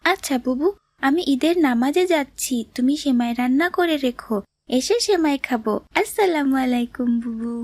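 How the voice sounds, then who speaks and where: A woman voicing an innocent, excitable little boy, a poor younger brother in a cartoon, with a pleading manner. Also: high-pitched, moderate pace, dry recording. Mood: hopeful. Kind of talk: cartoon drama voice acting.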